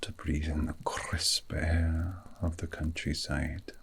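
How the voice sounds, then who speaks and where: A man playing a gentle, wistful fantasy mage in an ASMR roleplay, soothing a weary friend to sleep in a formal, poetic way with a low, breathy whisper. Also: little pitch movement, takes it slowly, slight background noise, full character voice. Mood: soothing, tender.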